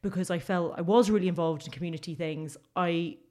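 A young woman with a London accent talks in an earnest, chatty way, full of "um" and "you know." Her voice sits a little low for a woman, and she rushes some phrases while stretching others out. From England. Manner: earnest, animated, many fillers. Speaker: guest, local election candidate. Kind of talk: casual podcast conversation.

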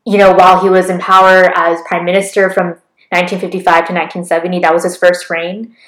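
A woman's voice: on the low side, level tone, fast talker, a bit bright, echoey room, low-quality recording. A young woman presenting history in a casual chat, explaining with many fillers and laughing easily.

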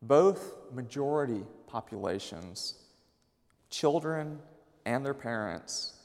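A man in his late thirties from Mississippi, a minister and seminary professor, speaks to an audience with a measured, thoughtful delivery. His voice has a gravelly edge at a mid pitch. The sound carries a long reverb from a large hall. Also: lively intonation, darkish tone. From Mississippi, USA. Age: late 30s. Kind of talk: personal talk to an audience.

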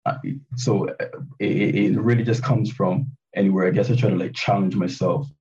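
A man's voice: on the low side, fairly even intonation, normal speed, a little mellow. A man in his late twenties from Barbados, a hip hop producer chatting casually as a guest, hesitant with many fillers and restarts. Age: 20s. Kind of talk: casual interview chat. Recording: dull, distant lo-fi call audio.

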